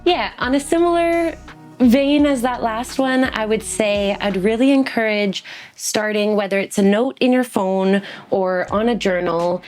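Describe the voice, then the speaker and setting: A woman's voice: on the high side, a little slow, darkish tone, faint music behind. A woman guest in an interview, talking in a reflective way and giving practical advice from her own experience as a teacher. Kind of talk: interview conversation.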